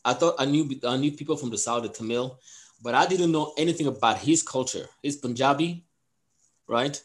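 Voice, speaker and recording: A man from Cameroon, a soccer coach, chats on a podcast at a middle pitch. He wanders through his stories with fillers and restarts, in an easygoing conversational way. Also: normal speed. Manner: rambling, with many restarts and fillers. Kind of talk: casual podcast chat.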